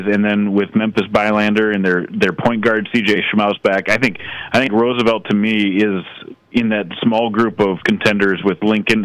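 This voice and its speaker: A man with a lowish voice analyses sports as a radio guest. He is measured and explanatory, in a conversational style. The sound is very dry. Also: a bit bright, no echo, low-quality recording.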